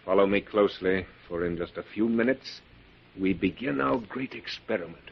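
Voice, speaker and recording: An actor playing an imperious, murderous mad professor in a theatrical, commanding 1940s radio style. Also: male voice, fairly low pitch, normal speed, gravelly. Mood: suspicious, commanding. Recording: muffled, noisy 1940s radio recording.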